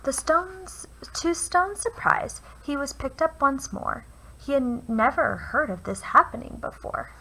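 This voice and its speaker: Bright high-voiced woman reading a storybook. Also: big pitch swings, a little slow, creaky. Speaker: teacher reading a picture book aloud. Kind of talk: children's storybook read-aloud. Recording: noisy home recording.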